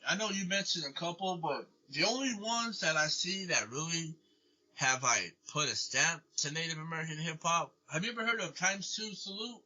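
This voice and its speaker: A man's voice: on the high side, normal speed, sharp tone, rough recording. A man hosting an interview in casual chat, slangy, filling his lines with "bro" and "dog". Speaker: podcast host.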